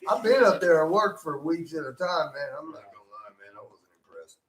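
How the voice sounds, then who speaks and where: A man's voice: fairly high pitch, sing-song, brisk, echoey room, poor audio. A man hosting a casual radio talk show, brash and swearing freely as he rants. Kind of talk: casual profane banter. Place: radio studio.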